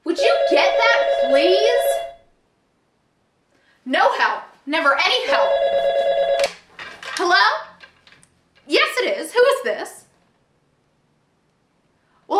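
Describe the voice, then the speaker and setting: A woman's voice: extremely high pitch, very animated, slow pace, long pauses, background noise, exaggerated voice. A young woman acting an overbearing middle-aged mother with gushing, over-polite charm in a stage monologue. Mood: exasperated. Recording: echoey room.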